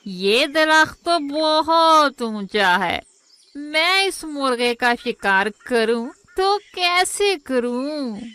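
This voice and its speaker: Cartoon vixen, played by a woman: high, swooping, sly and smooth-talking, drags out her words. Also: animated, bright, forceful tone, full character voice. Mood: scheming. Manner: wheedling, scheming, drawn-out words. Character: sly, hungry, smooth-talking female fox. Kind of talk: children's animated moral story acting.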